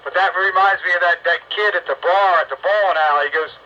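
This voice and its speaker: A man's voice: sits high, fast talker. An American man making casual conversation over a CB radio. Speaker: CB radio operator. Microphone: CB radio microphone. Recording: dull, faintly noisy CB radio transmission.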